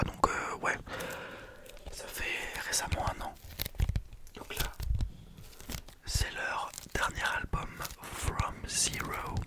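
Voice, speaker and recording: Rambling whispered late-night vlogger. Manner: whispering, rambling, often correcting themselves. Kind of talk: whispered vinyl haul vlog.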